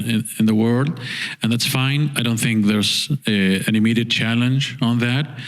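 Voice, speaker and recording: A man speaking on a conference panel, earnest and repeating words as he works out his points. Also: medium pitch. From Argentina.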